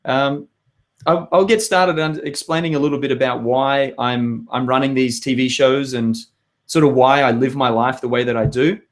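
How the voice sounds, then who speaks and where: An Australian man in his thirties with a hoarse voice, hosting a live web show and chatting with viewers in an upbeat, rambling way. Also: medium pitch, fairly even intonation, moderate pace. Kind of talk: live webinar chat to viewers.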